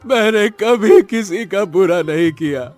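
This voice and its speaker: A man's voice: sits high, darkish tone, exaggerated voice. A man voicing a helpless, honest poor young farmer in a cartoon story, pleading and wailing with stammered repeats. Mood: wailing despair. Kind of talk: Hindi cartoon moral story acting.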